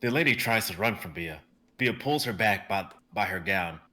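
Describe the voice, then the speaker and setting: A young man narrating stage directions in a live script reading, brisk and vivid, with the odd stumble. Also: low voice, animated, sharp tone. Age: young adult.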